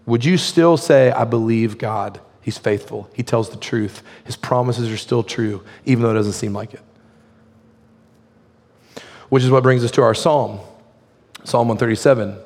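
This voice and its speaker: A man preaching a sermon as a pastor, his voice hoarse and his delivery conversational, full of "like" fillers. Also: mid-range voice, moderate pace, pauses often, a little mellow, in a large hall.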